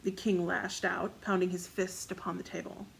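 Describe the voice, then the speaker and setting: A woman narrates a piece of fiction from a script in a slightly low voice. Fry runs through her delivery, and there is faint noise behind her. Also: flat delivery, moderate pace, low-quality recording.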